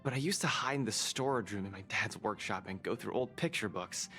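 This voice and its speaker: A man's voice: on the low side, brisk, sharp tone. A man voicing an earnest, friendly young fighter in a video game, full of wide-eyed wonder. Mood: wistful, friendly. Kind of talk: video game voice acting, conversational dialogue. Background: music.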